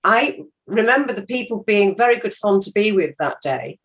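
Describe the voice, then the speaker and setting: Older female actor, medium pitch, casual reflective conversation. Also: lively intonation, moderate pace. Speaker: actor being interviewed.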